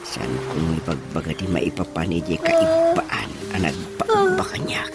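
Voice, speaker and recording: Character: man acting flustered, stammering husband, very animated. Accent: Ilocano. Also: deep voice, exaggerated voice. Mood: flustered, evasive. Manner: halting, stammering, exclaiming. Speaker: radio drama actor. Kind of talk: Ilocano radio comedy-drama acting. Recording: loud music behind the voice.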